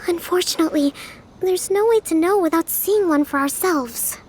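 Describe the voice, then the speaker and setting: A woman voicing a bookish, composed young shrine priestess and strategist in a video game, articulate and quietly confident as she reasons. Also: very high-pitched, normal speed, faint music behind. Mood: analytical.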